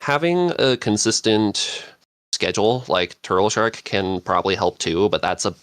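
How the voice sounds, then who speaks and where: A man giving casual advice as a podcast panelist, halting and thinking aloud as he goes. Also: on the low side, a bit bright, smooth, dry recording. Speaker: podcast panelist, script writer.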